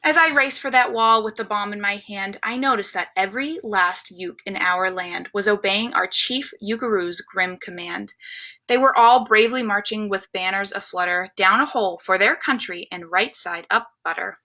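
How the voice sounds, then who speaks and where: A woman's voice: mid-range voice, moderate pace, bright, forceful tone. A woman reading a rhyming picture book aloud expressively as a storyteller for children.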